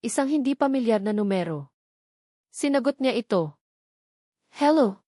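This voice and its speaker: A woman's voice: on the high side, animated, normal speed, mellow, no echo. Woman narrating a story, dry close sound. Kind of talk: scripted dramatic narration of a love story.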